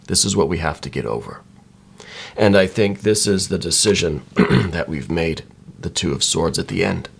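Low-voiced man musing over tarot cards. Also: lively intonation, moderate pace, gravelly, breathy edge. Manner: musing, repeats "right?" often. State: slightly croaky. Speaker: tarot reader. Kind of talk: soft-spoken tarot reading.

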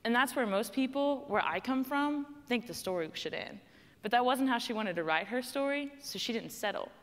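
A woman's voice: a little high, lively intonation, moderate pace, big-room sound. Texan young woman giving a stage speech. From Texas. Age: young adult. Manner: storytelling, conversational, emphatic. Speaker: student panel speaker. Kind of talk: prepared personal speech. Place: stage in a large hall.

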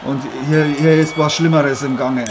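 A man playing an excitable boxer turned commentator, melodramatic and repeating exclamations in improvised parody live commentary. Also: fairly high pitch, normal speed, crowd noise, background noise, lightly acted. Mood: shocked. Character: excitable American boxer Sugar John commentating, theatrically shocked. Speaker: boxing commentator.

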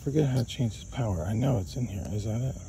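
A man's voice: medium pitch, quick pace, vocal fry, dark tone, a little hiss or hum, low-quality recording. A man hosting an outdoor radio range test, repeating test calls and calling to his dog in an open field, recorded on a body-worn camera. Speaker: radio hobbyist host.

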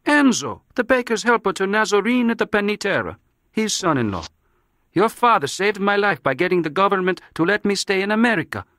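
A young Italian immigrant in a crime story, respectful and loyal. The voice is high and earnest, heavily Italian-accented, and recorded close and dry. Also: male voice, big pitch swings, brisk, fairly bright tone, no echo, exaggerated voice. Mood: eager, respectful. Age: young adult. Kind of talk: dramatised audiobook dialogue.

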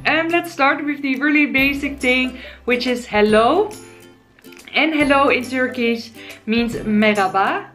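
A woman's voice: high voice, fairly bright tone, soft background music. Chatty Dutch woman in her twenties. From Netherlands. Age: 20s. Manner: chatty, slows down to spell out words. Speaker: YouTuber teaching words. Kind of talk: casual vlog lesson. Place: living room, sofa, indoors. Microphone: camera in front, seated.

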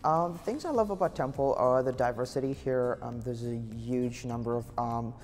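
A young man from Uganda, a senior student, speaks in a polite, measured way for a campus interview. There is some lingering reverb around his voice and quiet music beneath. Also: medium pitch. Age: young adult. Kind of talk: outdoor vox pop interview. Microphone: lapel.

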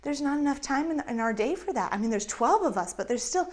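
A woman in her thirties with a lapel mic, telling a Bible story to children in an animated way with acted-out voices. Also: high voice, fast talker. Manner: animated, acts out voices of characters. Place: indoors in front of a map backdrop.

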